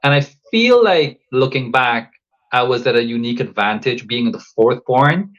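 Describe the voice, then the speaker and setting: Guy from guyana on a podcast, mid pitch, kinda muffled audio, thinks out loud with lots of ums. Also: expressive. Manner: thoughtful, measured, with frequent fillers and restarts. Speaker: podcast guest, coach. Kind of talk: reflective interview talk.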